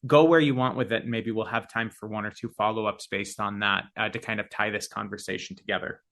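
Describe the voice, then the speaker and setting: A man hosting a podcast, framing interview questions in a measured, slightly halting way. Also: on the low side, steady tone, fairly bright tone. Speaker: podcast host.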